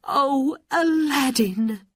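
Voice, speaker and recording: Woman voicing a fretful, higher-pitched storybook mother. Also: huge pitch swings, very slow, husky, no echo, studio quality, exaggerated voice. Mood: alarmed. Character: Aladdin's poor, fretful, caring mother. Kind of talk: storybook character voice.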